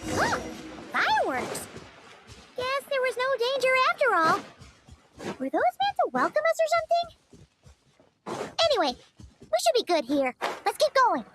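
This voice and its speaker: A woman voicing a small, excitable, childlike floating girl companion in a video game, with a squeaky, chirpy delivery. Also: unhurried, long pauses, slight background noise, heavily acted. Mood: cheerful, excited, then thoughtful, chatty. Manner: chirpy, refers to self in third person. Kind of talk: video game character acting.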